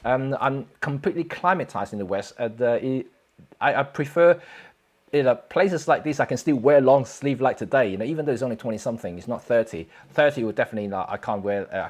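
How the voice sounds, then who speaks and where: A middle-aged man chats loosely to his livestream viewers. His voice sits at an ordinary mid pitch, and he talks in a halting, filler-heavy way with restarts and easy chuckles. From Hong Kong. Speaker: photography livestream host. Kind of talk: casual livestream chat reading viewer comments.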